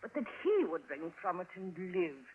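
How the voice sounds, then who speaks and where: A woman's voice: lowish voice, big pitch swings, normal speed. An actress playing a distraught, devoted older household woman in a plaintive, exclamatory 1950s radio drama style. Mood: remorseful, distressed. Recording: noisy, tinny vintage radio recording.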